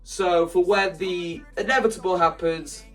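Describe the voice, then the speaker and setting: Higher-voiced man rambling enthusiastically over music. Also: steady tone, unhurried, a bit bright, distant mic, rough recording. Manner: enthusiastic, rambling, self-interrupting, acts out quoted lines. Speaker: video creator. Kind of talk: casual explanatory vlog about staging a musical.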